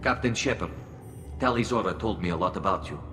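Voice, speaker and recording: A man playing a grave, sympathetic middle-aged alien ship captain in a video game, formal and measured, through a helmet filter. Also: low-pitched. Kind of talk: video game cutscene acting. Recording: reverberant hall, noisy ambience behind.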